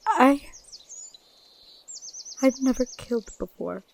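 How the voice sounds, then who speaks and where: Female actor as devout gentle priestess, slow, expressive, emotional, solemn, fantasy audio drama, noisy recording. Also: high voice, creaky, exaggerated voice. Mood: distraught.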